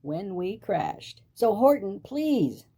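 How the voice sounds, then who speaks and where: A man's voice: sits high, very animated, slow, mellow, breathy edge, voice pushed up, heavily acted. A man voicing a tiny storybook mayor who complains fretfully and pleads for help. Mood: fretful, pleading. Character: tiny, plaintive mayor of a speck-sized town.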